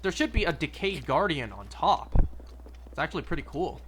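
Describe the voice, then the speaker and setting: A man's voice: fairly high pitch, lively intonation, moderate pace, fairly bright tone, slight background noise. A young man chatting casually and rambling through gameplay commentary, with wry little asides. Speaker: streamer.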